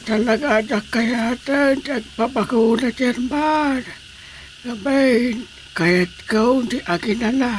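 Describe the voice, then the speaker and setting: An elderly Ilocano villager in a radio comedy drama, stubborn and insistent. The delivery is slow and emphatic, over a faintly noisy background. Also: female voice, on the high side.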